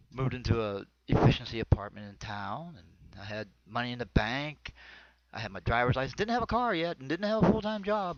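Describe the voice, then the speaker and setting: A man's voice: medium pitch, a bit bright. An American man performs an autobiographical comedy monologue in a rambling, conversational storytelling manner. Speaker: amateur comedian. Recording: dull, lo-fi close dry recording.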